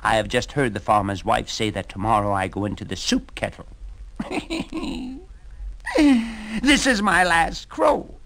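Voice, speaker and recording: A man's voice: lowish voice, big pitch swings, measured pace, creaky, fairly bright tone, exaggerated voice. A man doing a proud old rooster. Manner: boastful, crowing. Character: proud old rooster, singing his heart out.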